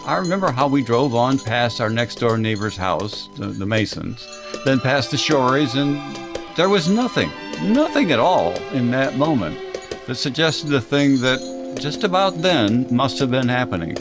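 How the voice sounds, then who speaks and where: A male actor as a man looking back on his small-town boyhood in an old-time radio drama. His pitch is on the low-to-middle side and moves freely, with hesitant conversational asides over music. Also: very animated, normal speed. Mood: reminiscent, wistful. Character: man fondly narrating a boyhood memory of a small-town tragedy. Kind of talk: recreated 1940s radio drama narration.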